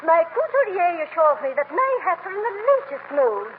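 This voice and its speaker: A noisy, thin old radio recording of a pompous society matron. She flutes along in a high, very animated voice, affected and condescending in classic 1930s comedy manner. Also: normal speed. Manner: haughty, fluting, pompous. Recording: tinny, hissy 1939 radio broadcast.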